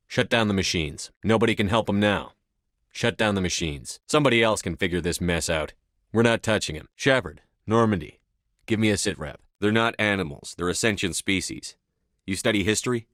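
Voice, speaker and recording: A commanding military hero from a sci-fi video game. His voice is low and recorded dry and close. He speaks in blunt, clipped phrases with stern authority and steady resolve. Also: no echo. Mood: firm, businesslike. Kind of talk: video game dialogue acting.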